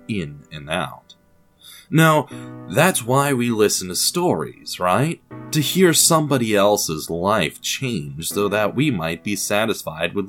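A man narrating a scripted audio drama in a measured, theatrical storytelling manner with deliberate pauses. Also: sits low, a bit bright.

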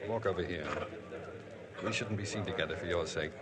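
An actor playing an earnest young priest, speaking in a gentle, restrained, steady way in a 1950s radio drama. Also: male voice, low voice, a little fast, lightly acted. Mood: urgent. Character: earnest young male priest, torn but firm. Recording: old broadcast with crowd behind.

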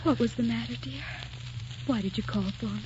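An actress playing a frail, gentle, devoted wife, tender and hesitant, in a 1940s radio romance. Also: female voice, on the high side, fairly quick, noisy background, natural voice. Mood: tender, concerned. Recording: muffled 1940s radio broadcast, music behind.

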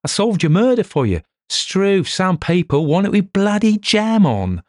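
A man's voice: mid-range voice, very animated, normal speed, no room sound, clean studio sound, pitched above the performer's own voice. A man voicing a lazy, foul-tempered police detective who grumbles and blusters coarsely. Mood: blustering.

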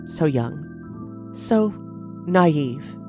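A man's voice: a little high, lively intonation, very slow. A man, the show's runner, narrating a scripted introduction in a reflective, wry way. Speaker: showrunner. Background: music score.

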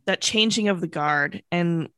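A woman's voice: lowish voice, unhurried, a bit bright, clean tone, dry recording. A woman hosting a sports podcast, chatting casually while she is getting a cold and losing her voice. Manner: chatty, laughs easily. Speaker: podcast host, sports beat reporter.

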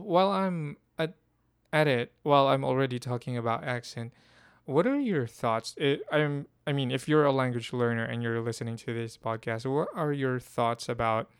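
A man's voice: mid-range voice, clear, resonant tone, dry recording, studio quality. A young adult man hosting a podcast, talking casually and thinking aloud with frequent restarts and self-corrections. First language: not Korean. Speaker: podcast host.

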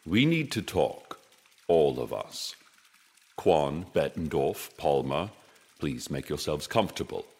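A man playing an older, commanding board director in an audio drama, measured and authoritative, with a deep husky voice. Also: sing-song, a little mellow, heavily acted. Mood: measured, stern.

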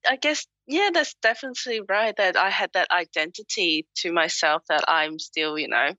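A woman's voice: fairly low pitch, normal speed, pushed and bright, dry recording, muffled recording. A woman in her thirties from Hong Kong, with a Hong Kong accent, answering interview questions in a frank, chatty way and laughing often. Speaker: author and guest.

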